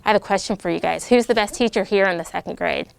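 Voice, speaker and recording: A middle-aged American woman, a military officer, reading a children's picture book aloud with lively, animated delivery and voiced character lines. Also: mid-range voice, quick pace, gravelly. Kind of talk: storybook read-aloud.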